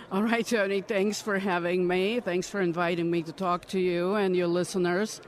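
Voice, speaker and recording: Woman, fifties, earnest, measured, interview answers. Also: on the low side, steady tone. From Ukraine. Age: 50s. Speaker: congressional candidate. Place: political conference.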